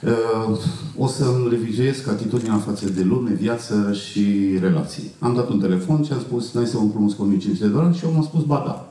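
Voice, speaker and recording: Echoey, low-voiced middle-aged man on stage. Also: dark tone. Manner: halting, frequent throat-clearing. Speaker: film actor at festival Q&A. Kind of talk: anecdotal speech to an audience. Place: festival auditorium stage. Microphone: handheld mic, heard from far camera. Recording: echoey hall, distant camera pickup.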